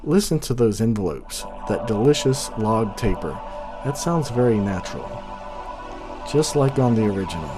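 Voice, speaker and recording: A man narrating a product review and demonstration in a measured, enthusiastic way. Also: fairly low pitch, animated. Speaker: reviewer, host of a synthesizer channel. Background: synthesizer music.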